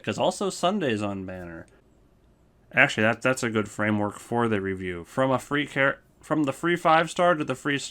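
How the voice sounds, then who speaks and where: A man's voice: mid-range voice, a bit bright. A man giving casual, rambling commentary on a ranking list as a video host. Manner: rambling, informal.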